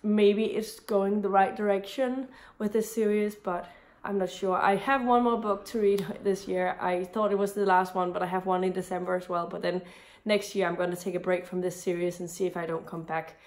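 A woman's voice: mid-range voice, steady tone, normal speed, a little mellow. Woman with a cold-strained voice. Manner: chatty, rambling with frequent restarts and ums. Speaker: book vlogger. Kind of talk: casual book review vlog. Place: home room with bookshelves, indoors.

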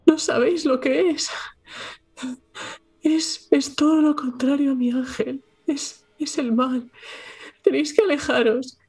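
A woman voicing a frightened young woman who pleads urgently and haltingly in a tabletop horror game. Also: high voice, fairly even intonation, darkish tone, voice pushed up. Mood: frightened pleading.

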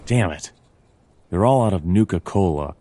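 An actor playing a weary, deadpan detective in a noir adventure game, muttering dryly to himself. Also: male voice, sits low, normal speed, slight background noise. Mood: dry, unimpressed.

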